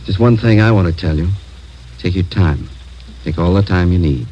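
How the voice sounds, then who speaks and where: Muffled vintage radio drama with faint noise. A brisk narcotics captain acted by a man speaks in a deep voice, terse and plain-spoken, keeping it understated. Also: dark tone, natural voice. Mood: serious. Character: brisk, watchful male police narcotics captain giving orders.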